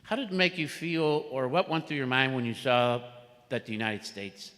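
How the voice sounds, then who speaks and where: A man's voice: mid-range voice, normal speed, a bit bright. A man moderating a citizenship ceremony, asking guests friendly, slightly hesitant questions. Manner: friendly, hesitant questioning, moves between mic and room. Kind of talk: guiding questions at a public event. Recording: hall echo with crowd murmur.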